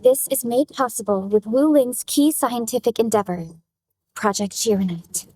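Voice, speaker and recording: A woman voicing a composed young supervisor who briefs her team in a formal, measured, businesslike way in a video game. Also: a little high, lively intonation. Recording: loud game music behind. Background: music.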